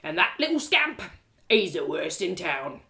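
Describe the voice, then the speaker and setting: A woman voicing a sneering, bullying male castle guard with rough, uneducated speech in a storybook reading. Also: on the low side, very animated, measured pace, sharp tone, heavily acted. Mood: scornful. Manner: rough uneducated grammar, contemptuous.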